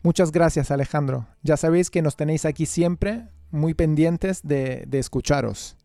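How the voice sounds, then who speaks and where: A male radio interviewer with a Peninsular Spanish accent talks in a conversational way. His voice is very mellow and a little high for a man, recorded dry and close. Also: normal speed, vocal fry, no echo. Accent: Spanish from Spain.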